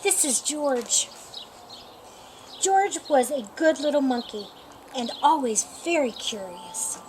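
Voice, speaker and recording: A woman reading a picture book aloud as children's storytime, with deliberate, expressive phrasing for young listeners. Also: high-pitched, slight background noise.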